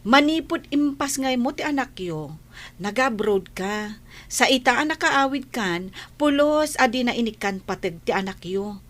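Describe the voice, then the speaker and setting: Woman playing a high-voiced, outspoken young friend. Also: animated, measured pace, a bit bright. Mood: indignant. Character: protective, indignant young female friend, outspoken. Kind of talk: radio drama acting.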